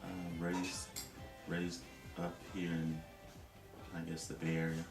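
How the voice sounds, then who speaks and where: A man's voice: deep voice, completely flat delivery, takes it slowly, roomy sound, distant mic, music in the background. A man from Tonga, an interviewee, talking reflectively in a casual way, with many "you know" fillers.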